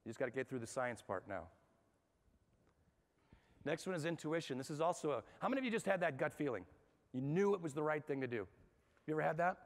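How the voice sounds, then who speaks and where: Energetic man lecturing in a reverberant hall. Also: on the high side. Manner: energetic and conversational, asks the audience questions. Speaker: speaker at a management workshop. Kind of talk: live seminar talk to an audience. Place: large hall with an audience.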